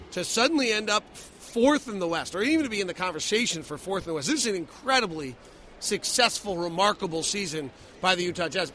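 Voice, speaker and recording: A man's voice: high-pitched, bright, forceful tone, a little hiss or hum. A man giving emphatic, opinionated post-game sports analysis as a radio play-by-play host.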